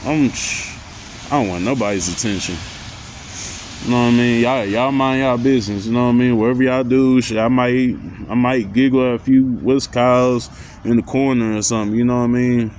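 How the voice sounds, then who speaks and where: A man with a deep voice talking loosely about anxiety and growing up, with lots of slang and filler phrases. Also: fairly even intonation, brisk, a little mellow, a little hiss or hum. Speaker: vlogger. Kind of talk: casual motivational talk.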